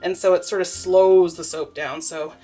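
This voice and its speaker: A woman's voice: lowish voice, fairly even intonation, moderate pace, dark tone, soft background music. A young woman making soap and chatting through it, with a stuffy, sniffly nose, laughing often and rambling with lots of fillers. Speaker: soap maker. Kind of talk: casual vlog narration while working.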